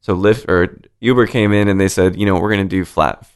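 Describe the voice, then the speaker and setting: A man hosting a podcast, talking in a laid-back, casual way with a deep voice and some swearing. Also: a little fast, clear, resonant tone. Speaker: podcast host. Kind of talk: casual interview chat.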